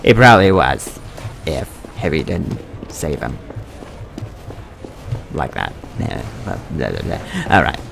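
A man's voice: very deep voice, very animated, takes it slowly, vocal fry, long pauses. A man giving casual game commentary, grumbling, blurting exclamations and mimicking game lines. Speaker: gamer making a gameplay video. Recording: game music and sound behind voice. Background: video game music and effects.

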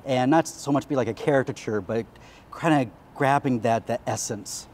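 American man, medium pitch, conversational. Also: moderate pace, darkish tone, a little hiss or hum. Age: 30s or 40s. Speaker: actor, interview guest. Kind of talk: conversational interview. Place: large decorated indoor hall. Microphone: lapel mic.

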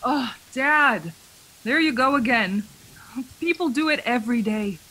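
A woman's voice: a little high, animated, a little slow, bright, forceful tone, breathy edge, full character voice, low-quality recording. An actress playing a frightened young traveler who insists she is sane, in a suspense radio play, hesitating and repeating words. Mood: reassuring.

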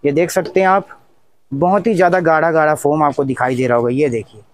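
A man's voice: fairly high pitch, steady tone, a little fast, no echo. A young man narrates a product demonstration vlog in a repetitive, instructional manner. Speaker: tech review channel host.

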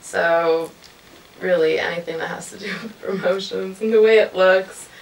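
A woman in her twenties giving casual, somewhat halting and self-effacing answers as an interview guest. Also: fairly low pitch, fairly even intonation, gravelly. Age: 20s. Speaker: interview guest, radio station creative director. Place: room with couches, amps and instruments. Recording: echoey room, distant mic, faint noise.

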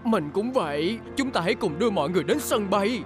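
An actor voicing a young, eager small car in a children's cartoon dub, argumentative yet well-meaning and expressive. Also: medium pitch, fast talker, music in the background, lightly acted. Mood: cheerful.

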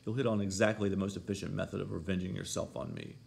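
A man voicing an imperious, hot-tempered young gentlewoman in a story, commanding and scolding. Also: low voice, fairly even intonation, quick pace, creaky. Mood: bitter, reproachful.

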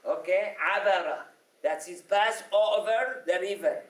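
A middle-aged man whose first language is Arabic teaches a class, pausing often to ask "okay?". His voice sits high for a man. The sound is roomy and a bit distant, as if picked up from across the classroom. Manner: explanatory, frequent "okay?" and "yani" checks. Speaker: religious teacher. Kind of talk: classroom lecture. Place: classroom with whiteboard. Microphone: lapel mic. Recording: echoey room, from a distance.

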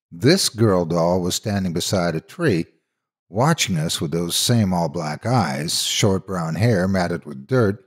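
A man narrating a scripted horror story in a dramatic, measured, suspenseful manner. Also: lowish voice.

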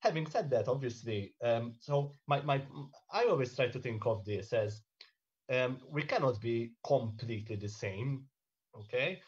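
A man in his thirties on a video call in a panel discussion, explaining in a measured way and often checking in with "okay?" and "right?". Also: medium pitch, lively intonation, highs cut off. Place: home room indoors. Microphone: webcam on a video call.

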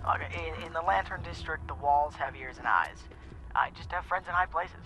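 A man's voice: on the high side, moderate pace, sharp tone, low-quality recording. A man playing a nervous, nerdy young American sidekick in a video game, hesitant and awkward. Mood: eager, informative.

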